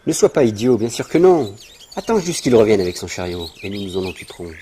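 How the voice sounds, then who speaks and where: A menacing cartoon brigand boss. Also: male voice, fairly low pitch, big pitch swings, fast, warm tone. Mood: calculating. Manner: bossy, threatening, sneering. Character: menacing, mocking male brigand leader. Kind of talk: French-dubbed cartoon villain acting. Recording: faint noise, birdsong behind.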